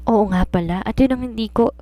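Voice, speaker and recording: A woman's voice: fairly high pitch, fairly quick, mellow, no echo, pitched above the performer's own voice, lightly acted. A woman with a Filipino accent voicing a bewildered, fussing middle-aged mother in a storybook. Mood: astonished, worried. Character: flustered middle-aged mother, a family friend. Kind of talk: storybook character reading.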